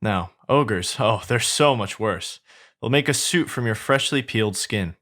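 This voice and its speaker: A man's voice: fairly low pitch, lively intonation, measured pace, fairly bright tone. A man voicing a menacing, mocking ogre who relishes his threats with emphasis. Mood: relishing menace. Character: menacing, mocking male ogre taunting villagers.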